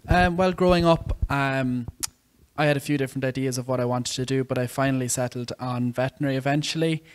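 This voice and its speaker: Steady-voiced young Irish man. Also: medium pitch, fast. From southeast of Ireland. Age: 20s. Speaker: veterinary student guest. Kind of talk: casual interview answers.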